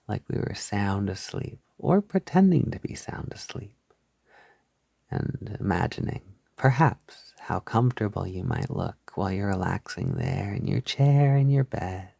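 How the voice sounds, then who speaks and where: A man leading a soft-spoken guided hypnosis, soothing and reassuring, gently repeating his phrases on a close mic. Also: on the low side, creaky, husky, dry recording. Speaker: hypnosis audio creator guiding the listener.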